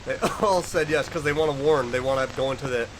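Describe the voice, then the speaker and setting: A man's voice: mid-range voice, quick pace, noisy background. A man giving casual reaction commentary, reading captions aloud and musing in fragments. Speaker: reaction video host.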